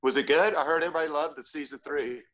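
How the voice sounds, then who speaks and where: A man's voice: a little high, fast talker, fairly bright tone. A man from Georgia with a Southern American accent, hosting a casual interview in an easygoing, admiring, chatty way. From Georgia, USA. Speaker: host.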